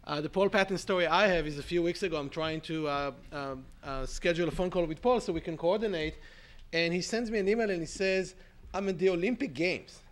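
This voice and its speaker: A higher-pitched man with an Israeli accent, nasal and stuffed up with a cold, lecturing at a law conference. He talks with animation and keeps checking in with "right?" as he lays out his points. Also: a little fast. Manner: animated, frequent "right?" asides, self-deprecating. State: congested with a cold. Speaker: law professor panelist. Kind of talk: conference talk.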